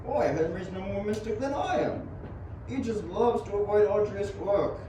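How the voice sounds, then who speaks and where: A man's voice: sits high, moderate pace, close to an everyday voice. A young man playing a courteous, approving university professor in an amateur radio play, with formal, genteel phrasing. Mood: amused, approving. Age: young adult. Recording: very echoey, distant, noisy.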